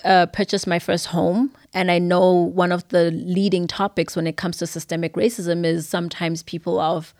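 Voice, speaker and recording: A woman's voice: fairly low pitch, flat delivery, normal speed, few pauses, a little mellow, no echo, studio quality. A young adult woman from Zimbabwe with a Zimbabwean accent, talking thoughtfully as a podcast guest. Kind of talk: casual conversation.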